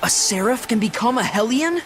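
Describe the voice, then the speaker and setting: A man's voice: extremely high pitch, expressive, moderate pace, a bit bright, faint music behind. A man voicing an earnest, kindly young shepherd hero in a video game, polite and youthful. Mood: astonished. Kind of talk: English game voice acting.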